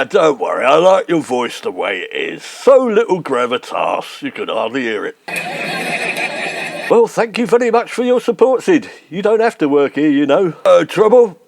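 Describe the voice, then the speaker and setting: A man's voice: a little high, creaky, dry recording, heavily acted. An actor playing a pompous, sarcastic, snobbish English man, blustering and mock-reassuring in an old-fashioned radio sitcom style. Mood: bantering.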